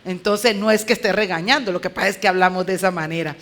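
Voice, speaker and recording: A woman's voice: fairly low pitch, quick pace, a bit bright. A woman with a Colombian accent preaching conversationally, with a clipped, emphatic delivery and rhetorical questions to her listeners. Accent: Colombian Spanish. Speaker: women's conference speaker.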